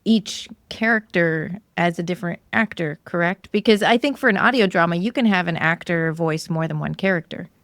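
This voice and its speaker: Female podcast host, slightly low voice, dry close sound, casual and affirming conversational interviewing. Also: normal speed, hardly any pauses, fairly bright tone, no echo.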